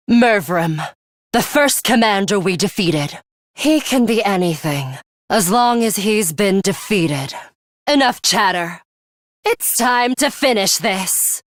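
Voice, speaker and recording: A young female battle commander from a video game. She speaks slowly in a fairly high voice, haughty and sadistic, commanding with disdain. The sound is dry and close. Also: a bit bright, no echo, exaggerated voice. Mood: cool, commanding.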